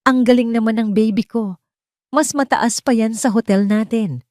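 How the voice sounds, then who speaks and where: A woman's voice: a little high, moderate pace, darkish tone, no echo, voice pushed up, lightly acted. A successful businesswoman dotes on her little boy, her voice lifted and affectionate. A woman narrator voices her in a dry, close reading. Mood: tender. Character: proud businesswoman praising her small son.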